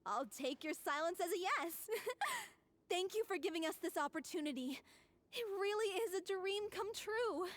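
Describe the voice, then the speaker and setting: A woman's voice: very high-pitched, sing-song, a little slow, a bit bright, exaggerated voice. A woman voicing a polite, sincere young member of a theatre troupe in an anime-style video game. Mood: grateful, delighted. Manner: courteous, sincere. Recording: game music behind the voice.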